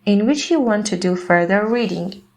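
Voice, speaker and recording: A woman's voice: mid-range voice, lively intonation, normal speed, a little mellow. A woman reading prepared passages aloud as scripted narration.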